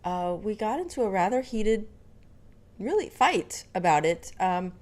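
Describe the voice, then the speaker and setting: A woman's voice: medium pitch, big pitch swings, measured pace, a little mellow. A young adult woman from Dallas with no regional accent, telling a story casually to camera with lots of fillers and restarts. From Dallas, Texas. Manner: chatty, many fillers and restarts. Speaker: vlogger.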